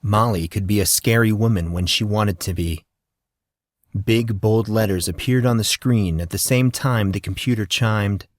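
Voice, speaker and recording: A male audiobook narrator with a fairly low voice, telling the story at a steady, measured pace. The sound is dry and close, with no room around it. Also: no echo.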